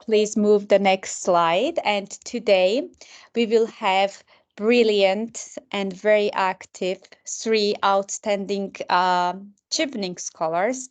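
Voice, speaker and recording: A woman hosts an online mentorship session at a slow, unhurried pace. She talks hesitantly and fills her pauses with many filler sounds as she addresses the participants. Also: mid-range voice, clear, resonant tone.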